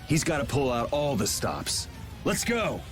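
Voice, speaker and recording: A cocky, lively young hero. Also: male voice, medium pitch, unhurried, over music. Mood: defiant. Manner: irreverent, defiant, casual. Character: blunt, cocky young male vigilante hero. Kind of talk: video game cutscene acting.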